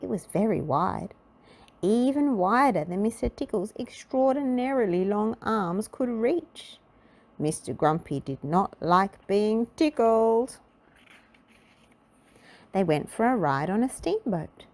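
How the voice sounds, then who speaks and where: A woman narrating a children's storybook aloud in an expressive, measured storytelling manner. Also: mid-range voice.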